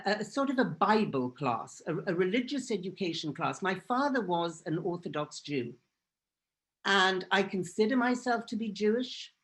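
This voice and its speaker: A woman from Israel whose first language is Hebrew, giving an earnest, emphatic personal talk as a guest speaker. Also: lowish voice. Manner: earnest and emphatic, repeating phrases for force.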